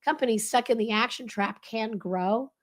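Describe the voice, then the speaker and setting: Hoarse woman coaching with a crackly voice. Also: a little high. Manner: brisk, animated, coaching tone. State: hoarse, voice lost. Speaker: leadership consultant guest. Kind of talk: explaining a leadership framework.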